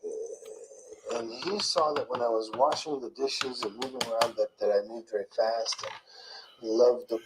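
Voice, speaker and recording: An older man slowly recalling his past in a soft, murmuring, rambling way, often trailing off. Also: mid-range voice, noisy background. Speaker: interviewee in played clip. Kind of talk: slow rambling recollection.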